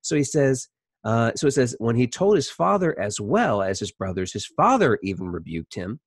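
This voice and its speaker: A man's voice: medium pitch, very animated, normal speed, husky, no room sound, studio quality. A man teaching a Bible story in an informal, conversational way, thinking aloud as he comments on it. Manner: conversational, thinking aloud, acting out imagined dialogue.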